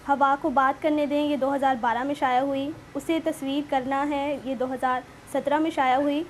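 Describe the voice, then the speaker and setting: A woman's voice: high-pitched, steady tone, moderate pace. A woman with a Pakistani accent hosting a literary show in a polite, formal presenting style. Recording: clear background noise.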